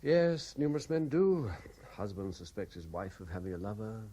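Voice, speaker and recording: An actor playing a troubled, guilt-ridden investigator in a 1970s radio drama, with a brooding, hesitant manner. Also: male voice, on the low side, big pitch swings, normal speed, warm tone. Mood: guarded. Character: troubled, haunted male French private investigator, guilt-ridden and weary. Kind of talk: 1970s radio drama acting, with narrated diary passages.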